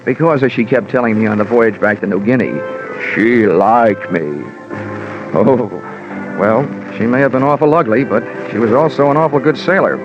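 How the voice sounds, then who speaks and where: A male actor as a sardonic American private investigator narrating a vintage radio drama. He is dry, hard-boiled and colloquial, with a medium-pitched voice on a muffled recording with music behind. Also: normal speed, natural voice. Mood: wry, brisk. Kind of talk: 1940s radio detective narration.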